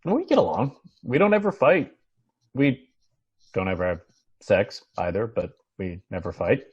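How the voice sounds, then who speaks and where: A man's voice: fairly low pitch, huge pitch swings, a little slow, slightly rough, lo-fi sound, exaggerated voice. A man reading the part of a melancholy, hesitant married man in an understated TV drama table read. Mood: hesitant, resigned.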